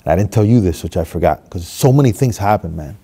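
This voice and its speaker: A man in his thirties from Miami tells a motivational story, swinging between hushed and loud as he acts it out. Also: low-pitched, expressive, quick pace, breathy edge. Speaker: motivational speaker. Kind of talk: storytelling monologue. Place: stage with red curtain, seated.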